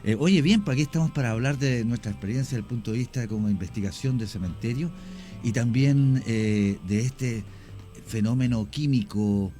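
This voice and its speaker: Rambling guest explaining at length. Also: male voice, mid-range voice, normal speed, warm tone, soft background music. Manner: explanatory, hesitant with many fillers. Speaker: guest, cemetery tour creator. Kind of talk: radio interview.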